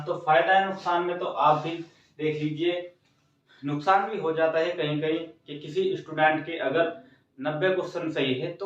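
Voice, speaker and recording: A man's voice: on the high side, fairly bright tone. Echoey, distant man explaining to students. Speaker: exam coaching host. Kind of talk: informal explainer of exam news for students. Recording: very echoey room, distant mic, dull sound.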